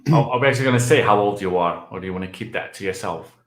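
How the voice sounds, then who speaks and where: A man's voice: lowish voice, very fast, reverberant, soft background music. A man hosting a casual show on a video call, joking and laughing often. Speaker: podcast host.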